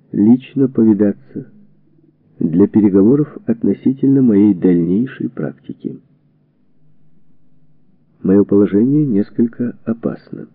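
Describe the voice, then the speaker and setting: A man reading a historical book as a scripted narration, in measured, deliberate phrases. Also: on the low side, no room sound. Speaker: audiobook narrator. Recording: narrow phone-like band, radio-like sound.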